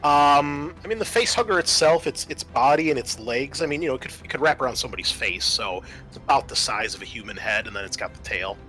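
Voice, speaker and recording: A man runs a tabletop roleplaying session as game master, describing scenes and explaining rules. His voice is mid-pitched and bright, with a forceful edge. Faint music plays behind him. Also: expressive, quick pace, few pauses, poor audio.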